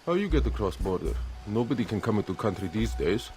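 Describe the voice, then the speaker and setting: Low-voiced, candid mercenary fixer. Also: male voice, very animated, fast talker, a little mellow, faint music behind. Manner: clipped grammar, dropped articles. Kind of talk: video game cutscene acting.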